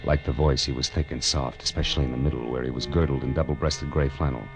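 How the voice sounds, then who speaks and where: Low-voiced hardboiled radio detective. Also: male voice, fairly even intonation, fast, music in the background. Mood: wry, narrating. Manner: dry, wisecracking. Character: wry, hardboiled male private detective. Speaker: detective narrator in a radio crime drama. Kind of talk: hardboiled 1950s radio detective narration and dialogue.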